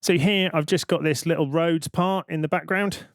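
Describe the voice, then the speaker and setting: A man's voice: a little high, steady tone, normal speed, no room sound, studio quality. A man presenting a tutorial in an informal, explanatory way, thinking aloud as he goes. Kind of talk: informal software tutorial narration.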